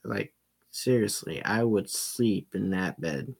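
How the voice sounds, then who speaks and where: A man's voice: on the low side, expressive, slow, vocal fry, slight background noise. A man casually reading a visual novel aloud, throwing in joking, swearing asides. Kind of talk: casual let's-play narration.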